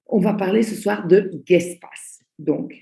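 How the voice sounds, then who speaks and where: A woman leads an online training session, speaking slowly and deliberately and repeating her key points. Her voice is mellow with a gravelly edge. The video call sound is roomy and echoey. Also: mid-range voice. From Belgium. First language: Italian. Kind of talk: online training presentation, spoken slowly for interpreters.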